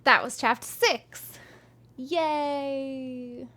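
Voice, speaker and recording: A woman's voice: high voice, drawn-out words, pushed and bright. A woman streaming live, reading a fantasy novel aloud and chatting with viewers in a friendly, rambling way with frequent ums.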